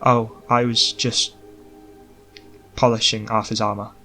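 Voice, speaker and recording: Loyal, defensive young man in mourning read by a man, terse, low and bright, audiobook. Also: little pitch movement, unhurried, soft background music. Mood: apologetic. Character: grieving, stubborn young man, evasive and loyal.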